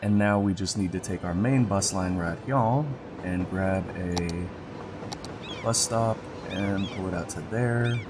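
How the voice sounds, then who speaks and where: A congested man giving casual gameplay commentary as a let's play host, relaxed and thinking aloud as he clicks. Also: deep voice, measured pace, background noise. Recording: background music under voice.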